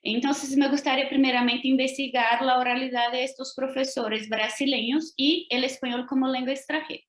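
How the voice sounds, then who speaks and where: A woman's voice: on the high side, fairly bright tone. A woman from Brazil whose first language is Portuguese, giving an academic lecture over a video call with a Brazilian Portuguese accent and careful pacing, often checking in with tag questions. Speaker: guest lecturer. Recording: roomy video call audio.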